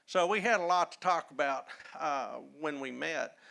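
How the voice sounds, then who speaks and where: A man's voice: on the high side, gravelly. Folksy Texan man telling stories. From Texas, Dallas-Fort Worth area. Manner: folksy, animated storyteller with many "uh" pauses. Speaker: historian guest speaker. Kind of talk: casual storytelling talk.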